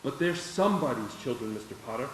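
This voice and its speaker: A man playing a decent, soft-hearted businessman, earnest and conciliatory, in a live radio play. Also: medium pitch, animated, fast talker, heavy background noise, lightly acted. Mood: pleading, earnest. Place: stage of a live theater performance. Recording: echoey stage, distant mic, faint music.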